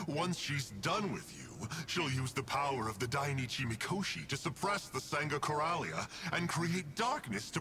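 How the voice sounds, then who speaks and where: A man's voice: medium pitch, noisy background, natural voice. A man voicing a sly, self-amused villain with a breezy, mocking, theatrical manner in an anime-style video game. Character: sly, flippant, self-amused villain schemer of a dark order. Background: game music.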